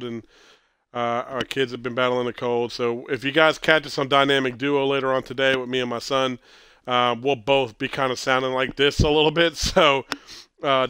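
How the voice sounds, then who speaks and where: A man in his thirties hosting a casual gameplay stream, stuffy with a cold and clearing his throat, rambling and correcting himself as he talks. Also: medium pitch, bright, forceful tone, dry recording. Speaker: streamer host.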